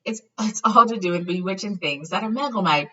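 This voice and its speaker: A woman voicing a chatty, excitable young wizard boy, a loyal friend telling things eagerly, for a storybook reading. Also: mid-range voice, brisk, voice pushed up, natural voice. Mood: excited. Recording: dull lo-fi, far from the mic.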